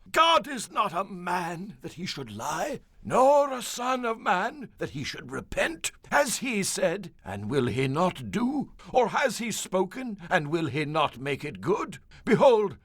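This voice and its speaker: A solemn prophet of scripture radio theatre, proclaims in a high male voice. The delivery is slow and emphatic, with sweeping swings of pitch and great fervor. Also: fairly bright tone, no echo, clean studio sound, exaggerated voice. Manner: declamatory, drawn-out, emphatic delivery. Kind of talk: radio theatre-style Bible dramatization.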